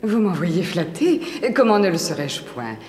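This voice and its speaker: A woman's voice: fairly low pitch, big pitch swings, unhurried, darkish tone, roomy sound. A woman playing a vain, scheming middle-aged queen in a dubbed cartoon fairy tale, speaking in a formal, theatrical way. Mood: haughty, coy.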